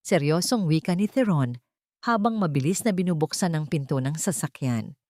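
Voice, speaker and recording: A woman's voice: low voice, lively intonation, moderate pace, darkish tone, dry recording, clean studio sound. A woman narrating a dramatic scripted story in a solemn, melodramatic manner.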